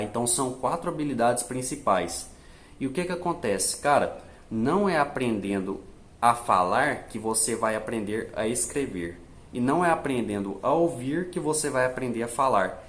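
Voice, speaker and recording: A young Brazilian man vlogging language-learning tips in a chatty, casual way, speaking English with a Brazilian accent in parts. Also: medium pitch. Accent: Brazilian Portuguese. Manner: chatty, fillers like né and tá, switches to slow careful English. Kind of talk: casual direct-to-camera tips.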